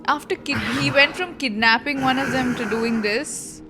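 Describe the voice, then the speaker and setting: A woman's voice: high voice, moderate pace, over music. A woman streamer giving casual, exclamatory live reaction commentary on a video game. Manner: expressive, exclamatory.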